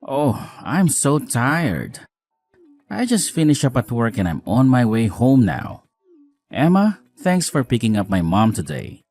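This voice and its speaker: A man playing a weary, apologetic newlywed husband in a scripted audio drama, earnest, expressive, caring and frank. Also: mid-range voice, a little slow, soft background music.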